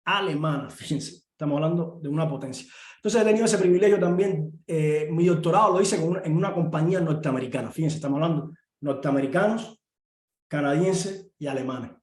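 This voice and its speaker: A middle-aged professor from Cuba with a Cuban Spanish accent, speaking earnestly in an online talk with many pauses and filler sounds. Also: male voice, fairly high pitch. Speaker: university professor and guest speaker. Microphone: video call. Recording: echoey room.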